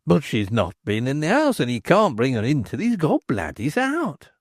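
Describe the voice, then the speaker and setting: A man voicing a sly male innkeeper who flatters and teases in a smooth-talking way. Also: mid-range voice, moderate pace, dry recording, studio quality. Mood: flattering.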